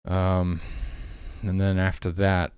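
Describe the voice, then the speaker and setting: A man's voice: sits low, completely flat delivery, takes it slowly, a little mellow, clear, resonant tone, no echo. A man talking casually and hesitantly as he thinks aloud while working, like an indie developer on a stream. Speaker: indie game developer streaming his work.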